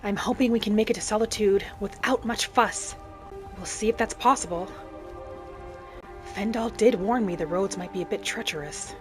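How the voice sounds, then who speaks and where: Serene, devout female wood elf played by a woman, measured fantasy game narration, formal wording, music underneath. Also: medium pitch, close to an everyday voice. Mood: calm, reflective. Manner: measured, formal storybook phrasing. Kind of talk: in-character roleplay narration of a fantasy video game.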